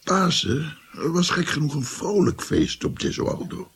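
An actor playing a melancholy old doctor who tells of his past in a radio drama, with a gravelly, husky voice. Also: on the high side, a little slow, fairly bright tone, heavily acted. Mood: wistful.

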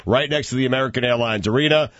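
A man's voice: medium pitch, very fast, a bit bright, dry recording. A man hosting sports talk radio, joking sarcastically and reading station promos. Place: radio studio. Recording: muffled dry studio audio.

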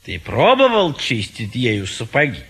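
Comic stage bachelor: indecisive, ruminating gentleman, slow delivery with big melodic swings. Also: mid-range voice, wildly swooping pitch, creaky, slightly rough, faint music behind, exaggerated voice. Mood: fretful musing. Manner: hesitant, ruminating, repeats himself. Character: fussy, indecisive gentleman bachelor pondering marriage. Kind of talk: theatrical Russian classic comedy audio play.

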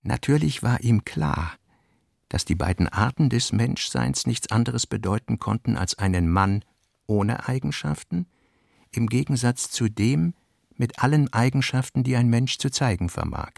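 Gravelly dude reading a novel for a radio play, calm and even, dry mic. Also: male voice, mid-range voice, measured pace, dry recording. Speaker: narrator and reader of diary notes. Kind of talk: literary radio drama narration. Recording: dry close studio sound.

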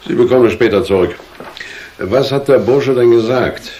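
An actor playing a dry, businesslike police detective in a German radio drama, questioning in a measured, insistent way. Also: male voice, medium pitch, mellow, slight background noise, close to an everyday voice. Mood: probing, dry.

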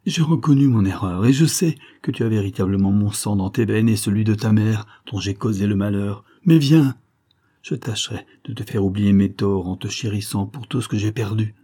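A man's voice: lowish voice, moderate pace, a little mellow, slightly rough. A man reading aloud plays a grieving old nobleman, a father who cries out and begs tearfully. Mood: pleading.

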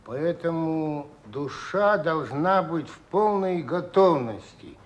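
An actor playing a philosophical, wary soldier musing in a measured way in a Russian radio play. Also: male voice, on the high side, lively intonation, highs cut off. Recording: faint background noise.

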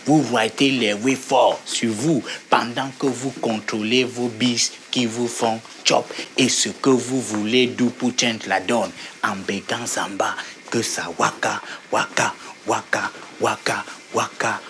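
A man's voice: mid-range voice, steady tone, measured pace, fairly bright tone, a little hiss or hum. A man playing a swaggering street rapper, delivering rhythmic, chanting spoken word in mixed slang. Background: music.

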